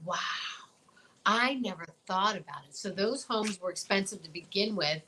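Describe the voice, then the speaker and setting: A middle-aged American woman co-hosting a talk show in casual, expressive chat full of exclamations. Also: medium pitch, moderate pace, a little hiss or hum, poor audio.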